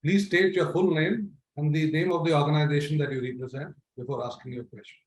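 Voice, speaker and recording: Higher-voiced man moderating a call. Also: fairly even intonation, fairly quick, poor audio. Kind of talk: formal call announcements.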